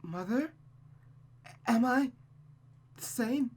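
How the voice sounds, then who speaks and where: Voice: animated, extremely slow, breathy edge, exaggerated voice. A performer voicing an anxious, doubting young woman in a visual novel, hesitant and pleading, with music behind. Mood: horrified. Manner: hesitant, pleading, higher-pitched.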